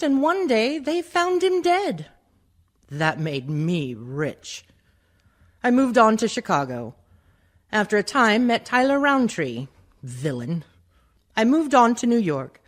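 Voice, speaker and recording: A woman playing a worldly, scheming rich widow in a theatrical radio monologue, with slow and dramatic phrasing. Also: mid-range voice. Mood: bitter, knowing. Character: worldly, insidious, much-married rich woman telling her life from the grave.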